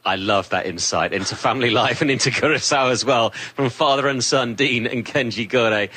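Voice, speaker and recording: Polished sports anchor, punchy bright delivery, slight husk. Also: male voice, medium pitch, husky. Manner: brisk, polished links. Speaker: presenter. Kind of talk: broadcast presenting.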